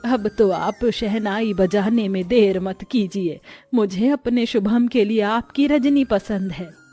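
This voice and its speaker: A woman's voice: medium pitch, slow, mellow, pitched below the performer's own voice. A middle-aged matriarch, acted by a woman, domineering and emphatic. She passes judgement in cartoon moral-story style, with music under the voice. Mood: effusive praise. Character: domineering middle-aged mother-in-law, effusive then scornful.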